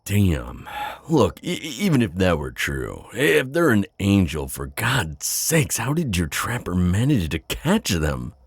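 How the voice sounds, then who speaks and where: Deep, gravelly, suave demon played by a man. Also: huge pitch swings, no room sound, studio quality, full character voice. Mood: skeptical, probing. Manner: flirty, sardonic, hesitant pauses and drawn-out words. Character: suave, skeptical male demon buyer at a shady auction. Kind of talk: ASMR audio roleplay acting.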